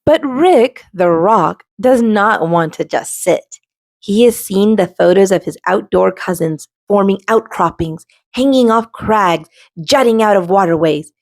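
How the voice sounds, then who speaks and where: Slow, expressive woman storyteller, slightly husky. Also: mid-range voice, dry recording. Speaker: storybook reader and show host. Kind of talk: expressive children's storybook read-aloud.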